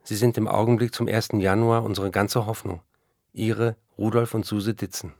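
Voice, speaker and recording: An actor playing a struggling, effusive writer, reading earnest letters aloud in a radio play. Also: male voice, lowish voice, flat delivery, normal speed, creaky, darkish tone, dry recording, studio quality. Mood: anxious hope. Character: struggling male writer, pleading, effusive, self-doubting.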